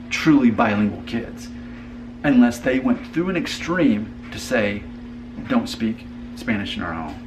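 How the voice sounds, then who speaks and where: A man's voice: lowish voice, noisy background. A man hosting a casual family conversation, earnest and thinking aloud with frequent restarts. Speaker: host.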